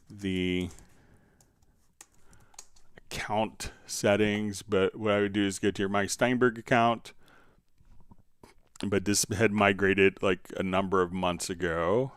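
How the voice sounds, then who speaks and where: A man with a fairly low, clear and smooth voice hosts a casual software livestream. He explains steps conversationally as he answers viewers. The sound is close and dry. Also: flat delivery, slow pace, pauses often, no echo. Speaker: livestream host, software instructor. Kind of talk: casual live tutorial, answering viewer questions.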